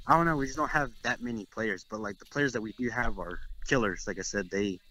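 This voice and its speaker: A man's voice: fairly low pitch, fairly quick, sharp tone, rough recording. A young man from Texas, a guest player in a casual interview, talking brash and cocky with plenty of swearing.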